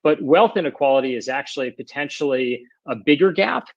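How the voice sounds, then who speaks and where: A man's voice: medium pitch, expressive, fairly quick, a little mellow, no echo, lo-fi sound. Earnest explaining man, dry close sound. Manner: earnest, explanatory, repeats words as he thinks. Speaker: panel guest. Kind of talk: panel discussion on an audio chat app.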